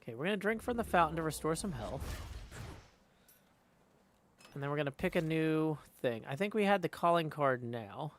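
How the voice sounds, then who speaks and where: A man's voice: on the high side, animated, normal speed, long pauses. A man streaming a video game, chatting casually, muttering to himself and reading game text aloud.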